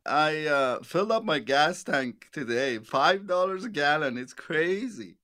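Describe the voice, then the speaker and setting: A man's voice: fairly high pitch, expressive, unhurried, pushed and bright, no echo, clean studio sound. A man in his sixties, a financial advisor hosting a radio show, chatting casually with plenty of fillers and repeated words. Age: 60s.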